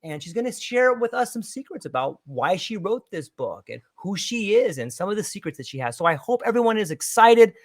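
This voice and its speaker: A man's voice: high-pitched, big pitch swings, fairly quick, hardly any pauses. A man hosting a podcast, introducing his guest with showman-like enthusiasm. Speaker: podcast host. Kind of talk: podcast interview.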